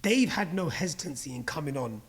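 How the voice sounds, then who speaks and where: Male co-host, husky, pitch jumping around, keen and curious interviewer. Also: a little fast. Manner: animated, admiring, probing questions. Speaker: podcast co-host. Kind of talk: casual interview chat.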